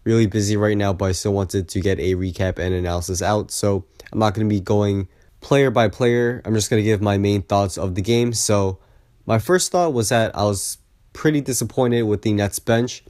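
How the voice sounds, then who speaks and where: Low-voiced man, sick and losing his voice. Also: normal speed, darkish tone, no echo, clean studio sound. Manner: conversational, opinionated, frequent "you know". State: sick, voice partly lost. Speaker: sports video creator and host. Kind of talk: casual basketball recap and analysis vlog.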